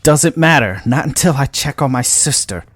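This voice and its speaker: A man's voice: mid-range voice, expressive, normal speed, a bit bright, slightly rough, dry recording, voice pushed up. A man voicing a headstrong, defiant woman mech pilot in an audio story. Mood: determined. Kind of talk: audio story character dialogue.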